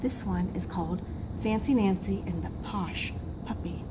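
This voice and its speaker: A woman reading a children's picture book aloud in an expressive, emphatic storytelling manner. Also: lowish voice, moderate pace. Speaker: school assistant reading to pupils. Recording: noisy room recording.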